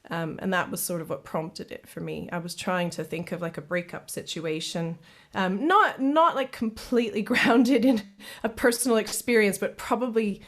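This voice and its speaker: A woman's voice: mid-range voice, normal speed. A woman from Canada who has lived in the UK for years, a singer-songwriter guest chatting casually, often laughing and repeating words as she starts a thought. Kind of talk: casual interview chat.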